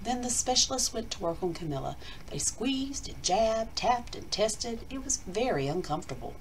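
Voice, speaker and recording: A woman reading a children's picture book aloud like a teacher, with deliberate, expressive storytelling and dramatic emphasis. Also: on the high side, a little hiss or hum, rough recording.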